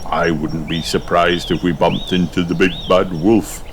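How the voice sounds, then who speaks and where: A man's voice: sits low, steady tone, a little slow, background noise. A man voicing an easygoing, jolly father pig in a children's storybook.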